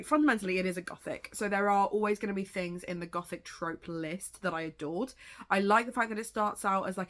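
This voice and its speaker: A woman talks in a loose, rambling way in her reading vlog. Her voice sounds gravelly from a lingering cold, yet it stays bright and forceful. Her pitch sits a touch low for a woman. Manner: chatty, rambling, swears casually. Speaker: booktuber. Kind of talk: casual reading vlog chat.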